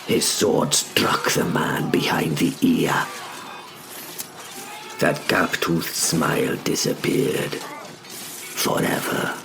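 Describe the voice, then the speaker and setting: A man narrating a scripted first-person drama in deliberate short phrases with dramatic pauses. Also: extremely low pitch, fairly even intonation, vocal fry, whispered. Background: music.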